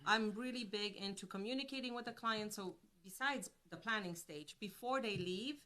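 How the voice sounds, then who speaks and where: Woman, Polish accent, chatty interview guest, bright clear tone, friendly conversational delivery. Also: mid-range voice, moderate pace. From Poland. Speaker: guest, travel business owner. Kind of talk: casual interview chat.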